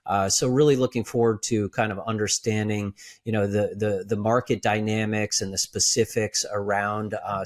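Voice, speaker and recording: A man hosting an interview, asking questions in a relaxed way with many fillers. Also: on the low side. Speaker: podcast host.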